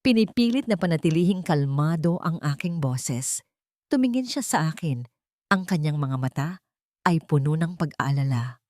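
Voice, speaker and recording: Low-pitched woman narrating a drama story. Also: expressive, moderate pace, a little mellow, dry recording, clean studio sound. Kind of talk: dramatic scripted first-person narration.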